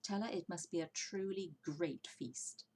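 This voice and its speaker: A woman's voice: on the low side, a little slow, a little mellow. A woman voicing a confident, bossy, jolly father fox in a children's storybook, exclaiming and giving orders. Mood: brisk, affectionate. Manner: exclamatory, commanding, persuasive. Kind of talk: children's storybook character reading.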